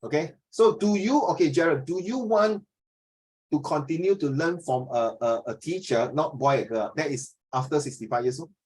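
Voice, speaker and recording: A man's voice: a little high, moderate pace, a little mellow, husky, low-quality recording. Singaporean man in his fifties, questioning teacher. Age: 50s. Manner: questioning, repeats himself, tags sentences with "ah". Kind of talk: informal online class discussion.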